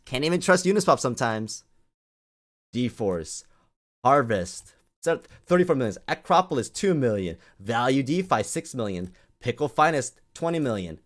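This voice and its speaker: A man ranting off the cuff to an online audience as a community host, scolding and emphatic, often repeating phrases to drive them home. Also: mid-range voice.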